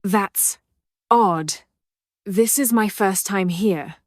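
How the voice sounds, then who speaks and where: A woman's voice: mid-range voice, very slow, dry recording, studio quality. A woman voicing a determined English adventurer searching for her late father's artifact, speaking in a guarded, matter-of-fact way with an English accent. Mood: wry. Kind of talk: amateur animated voice acting.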